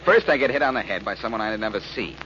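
A muffled, noisy old radio recording carries a tough male sleuth. He is cool, sardonic and commanding. His mid-pitched voice moves up and down freely as he delivers dry wisecracks. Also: expressive, fast talker, pushed and bright. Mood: brisk, conversational. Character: cool, wisecracking tough amateur detective, adult man. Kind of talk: 1940s radio acting.

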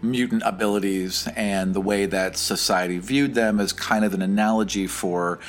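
A man's voice: lowish voice, steady tone, normal speed, slight background noise. A young man who runs a tabletop game, answering interview questions in a casual, rambling way with many fillers. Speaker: dungeon master and game creator.

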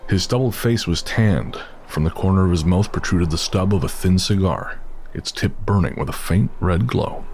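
Deep-voiced man narrating a western horror tale. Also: slight background noise. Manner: measured, steady storytelling. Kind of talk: scripted horror audio drama narration. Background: music.

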